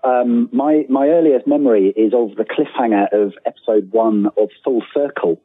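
British male guest, forties, husky, conversational, on a dry telephone-quality link. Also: mid-range voice, normal speed, no room sound. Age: 40s. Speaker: guest author. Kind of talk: casual interview conversation. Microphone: remote call. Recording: thin phone-like call, dry.

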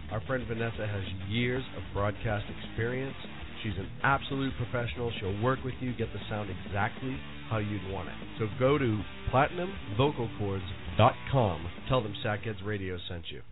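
A man's voice: lowish voice, normal speed. A man hosting a radio show, reading enthusiastic promotional spots. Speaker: radio host. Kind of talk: promo read. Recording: tinny audio over clear music.

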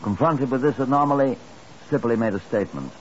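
An actor playing a sober Scotland Yard chief inspector, narrating a 1950s radio drama in a measured, grave, dramatic manner. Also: male voice, medium pitch, natural voice. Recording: muffled, hissy 1950s radio recording.

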